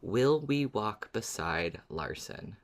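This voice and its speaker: A man's voice: fairly low pitch, expressive, takes it slowly. A man co-hosting a podcast, giving casual recaps with wry, dramatic phrasing. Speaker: podcast co-host.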